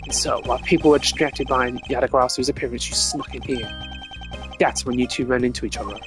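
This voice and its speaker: A man's voice: mid-range voice, steady tone, brisk, close to an everyday voice. Man playing a brash game detective, taunting, cocky, informal, confident, music playing behind. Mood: accusing.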